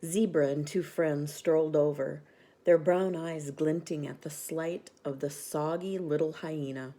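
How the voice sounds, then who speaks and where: A woman reading a children's bedtime story aloud with expressive narration. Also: sits low, unhurried.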